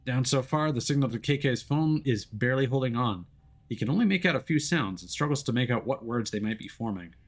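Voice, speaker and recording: A man's voice: mid-range voice, animated, a little fast. Casting: male streamer, mid-range voice, theatrical delivery of dramatic game narration.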